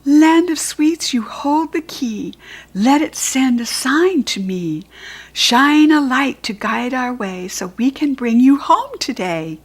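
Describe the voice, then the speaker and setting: A woman voicing an earnest toy fairy, brighter and higher. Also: lively intonation, slow, breathy edge, heavily acted. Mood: chanting spell. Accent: American. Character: brave young girl fairy, gentle and determined.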